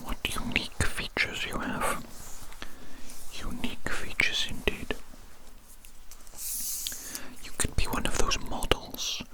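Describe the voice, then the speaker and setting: Curious alien doctor, played by an ASMR performer: fully whispered, unhurried, soothing, repeats phrases, blunt bedside manner. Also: close to an everyday voice, low-quality recording. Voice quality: breathy, unvoiced whisper. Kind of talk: ASMR roleplay.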